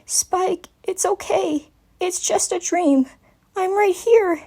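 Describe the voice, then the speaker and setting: A woman's voice: very high voice, slow, dark tone, voice pushed up, heavily acted. A woman playing a caring young female unicorn in a story reading, earnest and soothing yet firmly insistent.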